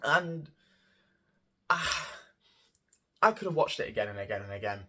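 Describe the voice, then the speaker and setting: A man's voice: on the low side, lively intonation, moderate pace, sharp tone. Gushing, rambling male theatre vlogger. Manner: gushing, rambling, emphatic repetition. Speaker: vlogger reviewing theatre shows. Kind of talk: casual spoken review to camera.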